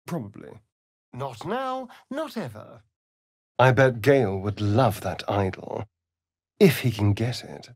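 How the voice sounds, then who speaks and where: An actor playing a sardonic, vain vampire rogue in a video game, with an arch, theatrical, drawling delivery. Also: male voice, medium pitch, very animated, slow pace, no room sound, studio quality, exaggerated voice. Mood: sly, dry. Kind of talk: video game character lines.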